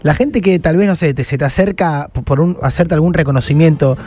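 A fairly high male voice with a Rioplatense Argentine accent, over faint music. He speaks as an interview co-host in casual conversation, haltingly, often rewording a thought midway. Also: warm tone. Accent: Rioplatense Argentine Spanish. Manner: halting, rephrasing as he goes.

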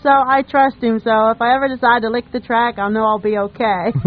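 A woman's voice: on the high side, fairly even intonation, moderate pace. An American woman co-hosting a radio show in casual, joking chat. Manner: joking, jovial. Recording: thin, noisy phone-like sound.

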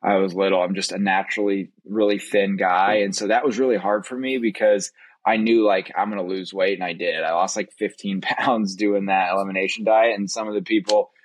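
A man's voice: on the low side, steady tone, a little fast, no echo. A young adult man telling personal stories as a podcast guest in a casual, candid and talkative way. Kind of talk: casual conversational personal storytelling.

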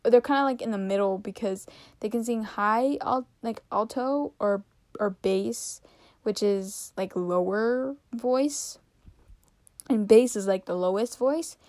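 A young woman in her teens hosting a casual solo podcast, rambling with lots of fillers and giggles, her voice a little raspy and tired. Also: on the high side, takes it slowly, darkish tone, dry recording, clean studio sound. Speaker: podcast host.

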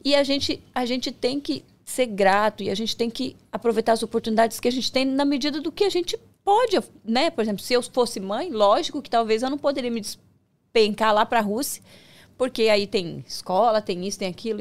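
A woman chatting as a podcast guest, telling her story with lively jumps in pitch when she gets excited. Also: normal speed. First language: Portuguese. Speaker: podcast guest, micropigmentation professional. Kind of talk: casual conversation.

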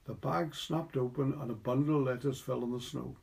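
A man's voice: medium pitch, steady tone, fairly quick, vocal fry, a little mellow. A man from Wales with a Welsh accent, narrating a literary short story. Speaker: story reader.

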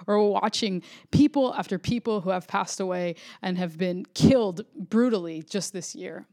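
A woman's voice: medium pitch, normal speed, mellow. A woman pastor from Florida, preaching a sermon in an earnest, reflective way, often restarting phrases.

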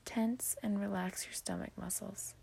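A woman podcast host whispering a guided sleep meditation in a breathy, hushed, soothing manner. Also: mid-range voice, steady tone, a little slow.

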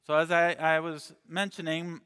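A man raised in Mexico preaching a sermon in an earnest way, slowing down and dropping his voice for scripture and emphasis. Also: a little high, level tone, sharp tone, clean tone. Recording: long reverb in a big hall.

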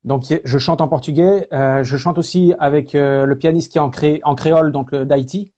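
Male guest, French, easygoing, warm mellow tone, hesitant fillers. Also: fairly high pitch, normal speed, dull audio. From France. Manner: relaxed, lots of hesitation fillers. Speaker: guest, speaker and singer. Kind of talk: casual interview talk.

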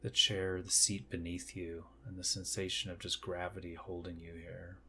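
A man leading a guided meditation, speaking gently and unhurriedly in short phrases with long pauses. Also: low-pitched, little pitch movement, slightly rough.

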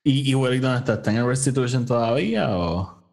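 A man's voice: medium pitch, fairly quick, creaky, darkish tone. A man with a Puerto Rican accent co-hosting a casual review chat, informally mixing English words into his Spanish. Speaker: podcast co-host.

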